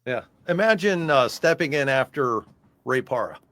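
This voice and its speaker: Casual American man, low dry voice. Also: expressive, normal speed, fairly bright tone, no echo. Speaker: singer, interview guest. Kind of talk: casual music interview chat.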